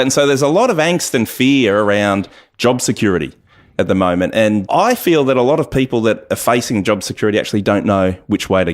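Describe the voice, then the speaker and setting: Low-voiced man hosting an interview. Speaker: radio show host. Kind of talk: conversational interview.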